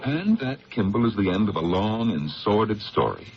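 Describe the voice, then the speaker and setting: Deep-voiced troubled attorney. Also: male voice, big pitch swings, normal speed, music in the background. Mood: grave, resolute. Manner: guarded, educated, hesitant under pressure. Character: troubled, guarded male district attorney, weary, proud. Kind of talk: 1970s radio drama acting. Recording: muffled 1970s radio recording, faint hiss.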